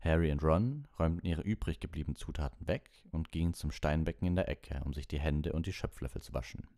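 A man with a low voice narrates a storybook. The recording is dry and close, in the manner of an audiobook reading. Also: quick pace, dark tone, no echo. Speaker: audiobook reader and streamer.

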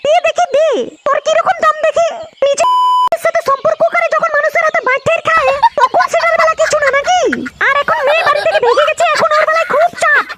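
A woman's voice: extremely high pitch, brisk, heavy background noise. A woman voicing a scolding, sarcastic cartoon wife who nags and taunts in a comedy. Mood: taunting, angry. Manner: nagging, taunting.

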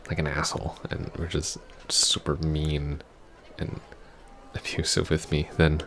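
A man playing a heartbroken, earnest ex-boyfriend in an intimate ASMR roleplay, speaking haltingly and sometimes dropping to a near whisper. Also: extremely low pitch, little pitch movement, a bit bright, slight background noise, full character voice. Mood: wistful, hurt. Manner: halting, hesitant, breathy half-whispers. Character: heartbroken, earnest young ex-boyfriend pleading for closure.

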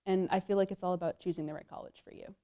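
A young woman psychology student giving measured, sincere answers in a documentary interview. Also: on the low side, fairly even intonation, dark tone, muffled recording. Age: young adult. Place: studio with plain backdrop.

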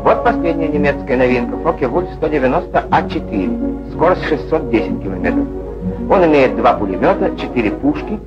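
A man's voice: medium pitch, lively intonation, a little fast, lo-fi sound. A man narrating a scripted 1940s Soviet newsreel in a declamatory, wry manner, on an old film soundtrack. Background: music.

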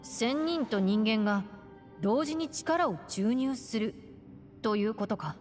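An actress playing a calm, tough young woman ninja in an anime-style game, with blunt, clipped phrasing. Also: on the high side, slow, soft background music. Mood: considering.

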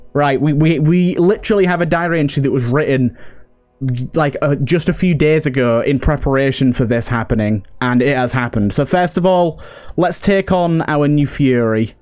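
A man's voice: on the high side, darkish tone. A man giving casual let's play commentary, thinking aloud and chatting to his game characters. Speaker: gaming video host. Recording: game music behind voice. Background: game music.

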